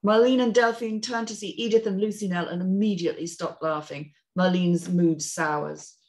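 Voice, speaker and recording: A woman's voice: lowish voice, steady tone, normal speed, darkish tone. A woman reading scripted stage directions as narrator in a screenplay table read. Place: indoor room at home, on a video call.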